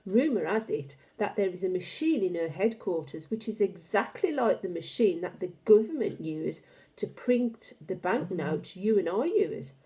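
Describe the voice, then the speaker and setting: A woman's voice: mid-range voice, expressive, normal speed, mellow, natural voice. A woman voicing a knowing, assured old grandmother in a storybook, explaining with authority. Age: older. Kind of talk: storybook character reading.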